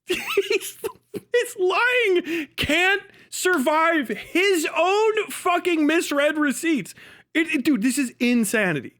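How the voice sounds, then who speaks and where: A man's voice: extremely high pitch, lively intonation, takes it slowly, a bit bright. A man ranting on a casual livestream, mocking and incredulous, swearing heavily as he reacts to a video.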